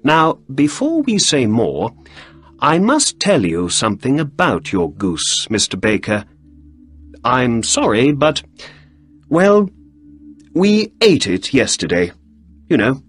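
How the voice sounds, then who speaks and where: A man voicing a clever, brisk middle-aged male detective in an audiobook story. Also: medium pitch, wildly swooping pitch, husky, faint music behind, pitched above the performer's own voice, full character voice. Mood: apologetic.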